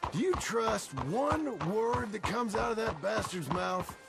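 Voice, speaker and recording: A man playing a gruff, irritable Wild West outlaw in a video game, with a gravelly American voice. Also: high-pitched, lively intonation, unhurried, loud music behind the voice, full character voice. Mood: worried, bitter. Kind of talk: video game cutscene acting.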